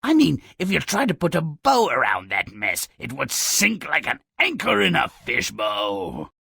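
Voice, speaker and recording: An actor playing Grimm, a cranky, sardonic gremlin-like dwarf who narrates fairy tales mockingly in a video game, with a gravelly, raspy voice and theatrical delivery. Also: male voice, medium pitch, wildly swooping pitch, unhurried, no room sound, clean studio sound, full character voice. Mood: dry, mocking. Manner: sneering, mock-dramatic, swooping from mutters to shouts.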